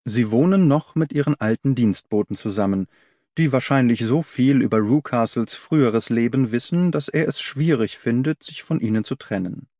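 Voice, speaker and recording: Male narrator for literary audiobook, medium pitch, dry studio-style sound, scripted reading. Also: normal speed, dark tone, dry recording.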